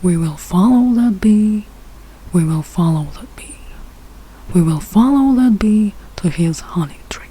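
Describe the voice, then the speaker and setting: A woman reading a rhyming picture book aloud slowly, with careful, deliberate phrasing. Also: mid-range voice, lively intonation, warm tone, slightly rough, poor audio. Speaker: English learner reading a children's book aloud.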